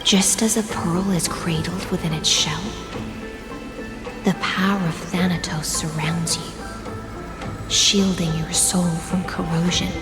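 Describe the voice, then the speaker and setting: A woman's voice: on the low side, fairly even intonation, slow, pauses often. A woman playing a regal, enigmatic and protective sea lady in a video game, with poised, lofty, formal speech. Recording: echoey reverberant hall, music behind. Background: music.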